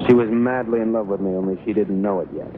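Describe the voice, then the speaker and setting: A young actor playing a self-deluded, earnest secret agent in a 1960s film comedy, with deadpan delivery. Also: male voice, fairly low pitch, fast talker, lightly acted. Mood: earnest wooing. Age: young adult. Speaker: actor and narrator. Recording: muffled, noisy old film soundtrack.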